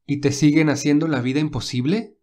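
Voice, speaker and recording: A man voicing a gentle, protective young man who speaks calmly and reassuringly in a read-aloud story. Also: a little high, a little fast, lightly acted. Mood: calm caring. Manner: calm, reassuring. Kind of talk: audiobook dialogue reading.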